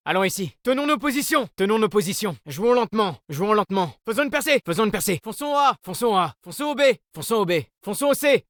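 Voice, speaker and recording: Laid-back young game hero. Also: male voice, sits high, fairly bright tone, no room sound, clean studio sound. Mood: focused, eager. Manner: casual, slangy, upbeat. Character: laid-back young male agent with creature pals. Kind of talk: video game voice lines.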